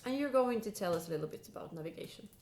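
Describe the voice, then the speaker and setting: Chatty woman with a lively, mellow voice. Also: mid-range voice, rapid-fire. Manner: chatty, searching for words, many fillers. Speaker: outdoor vlog host. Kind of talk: casual vlog introduction.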